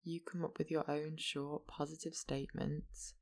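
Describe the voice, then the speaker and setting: Gravelly, unhurried guided meditation voice. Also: mid-range voice, level tone. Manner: slow, gentle instructing with long pauses. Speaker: yoga nidra meditation guide.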